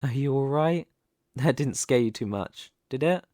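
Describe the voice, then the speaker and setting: A man playing a commanding, possessive mafia boss who is devoted to his lover, in an ASMR roleplay, with a measured, persuasive delivery. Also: mid-range voice, animated, a little mellow, no room sound, clean studio sound, close to an everyday voice. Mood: tender, protective.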